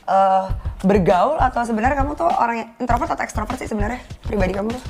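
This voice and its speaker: A woman's voice: fairly high pitch, fast, darkish tone. A woman hosting a casual interview, eagerly probing her guest and piling on follow-up questions. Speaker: talk show host. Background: background music.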